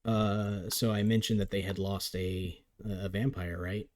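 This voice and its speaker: Low-voiced man calling a game. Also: fairly even intonation, unhurried, darkish tone. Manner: chatty, upbeat play-by-play with frequent restarts. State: voice getting a little raspy. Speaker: league commentator. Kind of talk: live game commentary.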